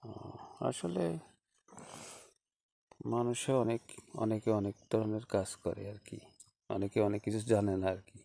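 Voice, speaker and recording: Casting: male actor as hesitant, courteous Bangladeshi ex-teacher on a phone call, warm timbre with some fry. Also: fairly low pitch, fairly even intonation. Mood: hesitant, explaining. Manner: hesitant, halting, with frequent small acknowledgements. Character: hesitant, polite unmarried former schoolteacher phoning an old schoolfriend. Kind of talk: staged phone-call audio story, conversational.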